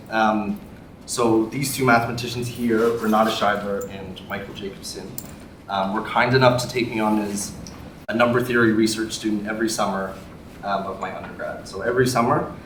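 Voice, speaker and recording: A young man from Canada with a Canadian accent, giving a public maths lecture in a relaxed, friendly way with frequent ums. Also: fairly low pitch. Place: lecture hall. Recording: echoey hall from far off, faint noise.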